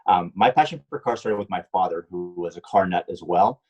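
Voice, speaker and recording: A man's voice: sits low, brisk, breathy edge, muffled recording. A middle-aged man from Puerto Rico, a guest answering interview questions in a loose, rambling way with many ums and restarts. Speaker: guest, stunt driver and car designer.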